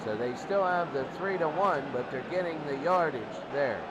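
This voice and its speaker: Guy doing sports commentary, kinda high voice, running through stats, loud noise behind him. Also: lively intonation, moderate pace, dull audio. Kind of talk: unscripted statistics rundown before a game.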